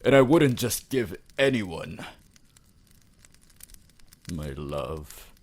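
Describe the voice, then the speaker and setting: A man's voice: low-pitched, very animated, drawn-out words, exaggerated voice. A man voicing an ancient, courtly vampire lord in an ASMR roleplay, formal, theatrical and romantically devoted. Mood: wistful, adoring.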